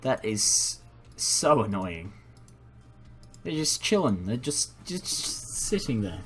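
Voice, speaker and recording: A man's voice: on the low side, sing-song, slow, fairly bright tone, a little hiss or hum. A young man, a native English speaker, giving rambling, self-deprecating and apologetic casual commentary as the host of a gaming video, recorded indoors at a computer. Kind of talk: casual unscripted game commentary.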